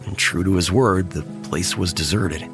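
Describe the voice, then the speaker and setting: Deep-voiced man narrating horror. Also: moderate pace, a bit bright. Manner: tense first-person storytelling. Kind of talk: horror audio drama narration. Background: music.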